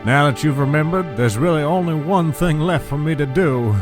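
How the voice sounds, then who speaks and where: An actor playing a controlling, quietly sinister man in an audio drama, patronizing, measured and syrupy. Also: on the high side, music in the background, close to an everyday voice. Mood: menacing.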